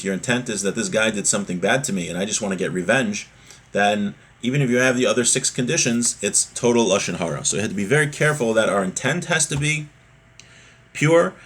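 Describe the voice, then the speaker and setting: Slightly husky man teaching a religious class. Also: lowish voice. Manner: explanatory, repeats and rephrases for emphasis. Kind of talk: religious text lesson, reading aloud and explaining.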